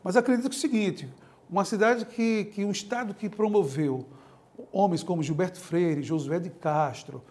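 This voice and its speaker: A man from Pernambuco with a Pernambuco accent, a historian answering interview questions in an animated, explanatory way. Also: high-pitched, normal speed. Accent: Pernambuco Portuguese. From Pernambuco, Brazil. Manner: animated, laughs while making points. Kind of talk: explanatory talk-show interview.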